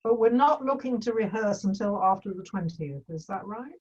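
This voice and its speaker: A husky-voiced woman sounds some distance from the microphone, slightly dull in the recording. She speaks casually in a meeting, feeling her way through suggestions. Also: mid-range voice, expressive, normal speed, a little mellow.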